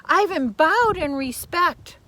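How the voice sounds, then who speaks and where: A woman's voice: very high-pitched, animated, sharp tone, slight background noise. An older woman, a grandmotherly storyteller, reading to children in a dramatic, theatrical way with emphasized words. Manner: theatrical, slow and deliberate, drops into whispers, repeats words for emphasis. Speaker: storyteller and primary teacher.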